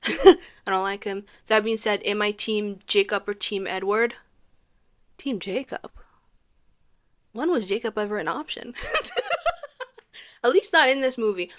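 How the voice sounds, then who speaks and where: A young woman reviewing a movie in a casual comedic vlog, animated and sarcastic, ranting with rhetorical questions. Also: mid-range voice, measured pace, pauses often.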